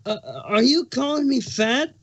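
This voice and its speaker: A man's voice: very high-pitched, unhurried, fairly bright tone, lo-fi sound, heavily acted. A man voicing a moody, snappish pregnant male changeling in a cartoon fan fiction reading. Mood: indignant, defensive. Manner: snappish, touchy, sarcastic. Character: irritable, moody pregnant male changeling creature with big appetite.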